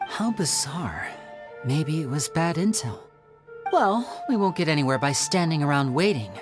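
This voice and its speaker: A suave young male magician from a video game. He speaks courteously with theatrical, teasing flair. The pitch swings in a lively way, and music plays clearly behind him. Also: moderate pace. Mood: purposeful.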